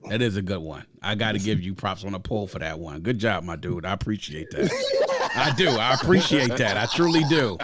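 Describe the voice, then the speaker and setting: A man's voice: lowish voice, animated, a little fast, heavy background noise. A man co-hosting a podcast, talking casually and enthusiastically about a favorite album, rambling with fillers. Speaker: podcast co-host. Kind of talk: casual album review chat.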